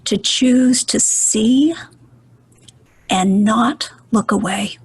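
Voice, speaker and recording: A woman leading a guided meditation in a soft, breathy voice, gentle and soothing, with deliberate pauses. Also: on the high side, dry recording, low-quality recording.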